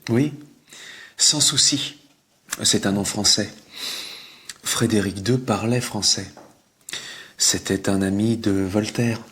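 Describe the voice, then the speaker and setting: An actor playing a young artist in a scripted textbook dialogue, relaxed and knowledgeable. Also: male voice, lowish voice, slow pace, long pauses. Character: Greg, a young male artist. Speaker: artist tenant character.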